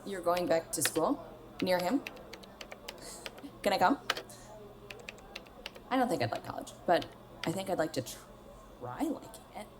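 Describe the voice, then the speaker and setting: A woman's voice: lowish voice, very animated, a little slow, pauses often, noisy background. A young woman playing a blunt, restless, sardonic 27-year-old star in a naturalistic radio drama, probing in a conversational way. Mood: curious, probing. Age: young adult.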